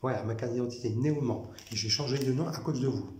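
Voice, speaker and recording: A man from France talking in a rambling personal monologue, halting, with many fillers and restarts, repeating himself. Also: mid-range voice, darkish tone. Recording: echoey room with faint noise.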